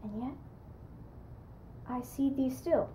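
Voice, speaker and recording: Girl doing a haunted brooding murderer, shakespeare soliloquy, super slow and hushed, noisy recording. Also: high-pitched. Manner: hushed, slow, breathy, deliberate.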